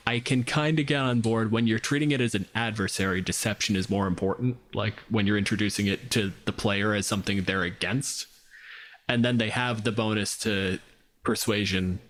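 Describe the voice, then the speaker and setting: A man's voice: on the low side, fairly even intonation, moderate pace, a bit bright. Casual, sweary man podcasting about gaming. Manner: sweary, analytical, informal. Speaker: podcast host. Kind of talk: casual podcast discussion of tabletop games.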